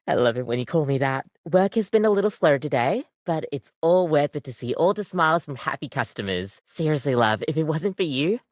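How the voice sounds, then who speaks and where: An animated, friendly young barista. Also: female voice, low voice, no echo, clean studio sound. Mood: bashful, affectionate. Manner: chatty, casual, expressive. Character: friendly, earnest young female coffee maker come to life as barista. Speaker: video game character. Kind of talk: video game voice acting.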